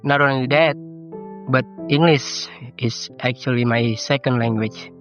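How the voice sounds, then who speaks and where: A man's voice: mid-range voice, fairly even intonation, measured pace, highs cut off. A teenage male YouTuber from Indonesia with an Indonesian accent, speaking English as a second language in a reflective, self-deprecating personal narration. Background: game soundtrack music.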